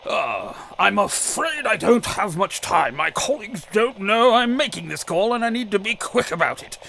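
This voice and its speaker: An actor playing a nervous, fussy scientist informant in a radio drama, stammering and formally precise. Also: male voice, sits high, sing-song, normal speed, gravelly, sharp tone, exaggerated voice. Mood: anxious, stammering. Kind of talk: old-time radio drama acting.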